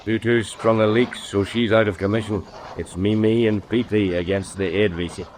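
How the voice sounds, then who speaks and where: Lowish-voiced matter-of-fact naval man, acted. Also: steady tone, moderate pace, darkish tone, slight background noise, lightly acted. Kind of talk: radio drama acting.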